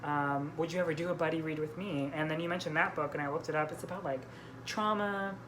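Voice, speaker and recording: Low-pitched woman chatting casually about books. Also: steady tone, quick pace, background noise. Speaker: book vlog host. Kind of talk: casual book chat.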